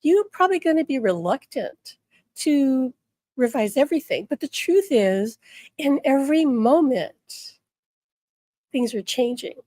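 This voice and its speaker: Slightly hoarse woman teaching spirituality. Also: high-pitched, big pitch swings, unhurried, mellow, no room sound. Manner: earnest, checks in with the listener often. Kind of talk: direct-to-listener spiritual talk.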